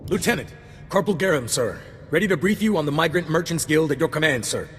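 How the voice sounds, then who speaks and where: An actor playing an eager, dutiful junior army corporal in a video game, briefing with crisp military deference. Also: male voice, a little high, animated, fast, faint music behind, lightly acted. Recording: long reverb with faint noise.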